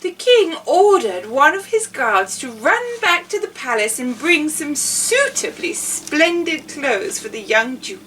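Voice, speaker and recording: A woman reading a children's fairy tale as a storyteller witch, in a theatrical, measured way. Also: sits high, sing-song, a bit bright, distant mic, slight background noise, poor audio. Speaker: storyteller playing an amusing witch.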